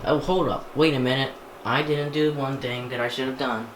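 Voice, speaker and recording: Male hobbyist vlogger, unscripted and halting, half talking to himself, roomy sound with noticeable background noise. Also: mid-range voice, fairly even intonation. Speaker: hobbyist vlogger unboxing gadgets. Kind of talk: casual unscripted chat while handling a device. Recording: echoey room, clear background noise.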